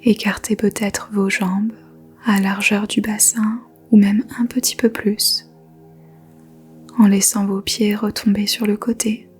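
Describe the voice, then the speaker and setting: A woman teaching yoga nidra, speaking softly in a whispery voice and giving slow, gentle meditation instructions with long pauses. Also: medium pitch, little pitch movement, soft background music. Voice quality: soft whispery voice. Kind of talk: guided meditation.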